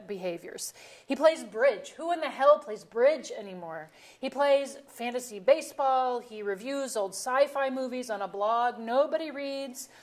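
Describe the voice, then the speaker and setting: A woman's voice: a little high, close to an everyday voice. A woman playing a sardonic, blunt middle-aged wife and mother in a staged comedy reading, with a dry delivery. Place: auditorium stage.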